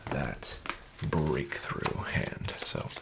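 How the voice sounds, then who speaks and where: Deep, gravelly, soft-spoken dealer man. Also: extremely slow, heavy background noise. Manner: soft-spoken, half-whispered, smooth and flattering salesman patter. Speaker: casino dealer in a roleplay. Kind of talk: soft-spoken ASMR roleplay.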